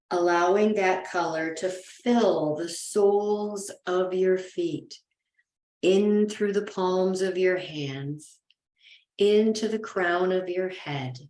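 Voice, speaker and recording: Woman, meditation guide, lowish pitch, very slow delivery, soothing, gentle pauses, guided relaxation. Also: roomy sound. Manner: soothing, unhurried, with gentle pauses between phrases. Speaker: yoga nidra meditation guide.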